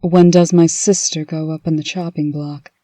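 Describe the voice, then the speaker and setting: A woman's voice: deep voice, flat delivery, normal speed, mellow, no echo. A woman playing a wry, authoritative female administrator in a comic dub. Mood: firm, insistent.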